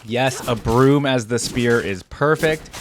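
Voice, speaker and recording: A man's voice: medium pitch, takes it slowly, background noise. A man hosting a casual reaction commentary, speaking informally and musing aloud. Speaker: reaction video host.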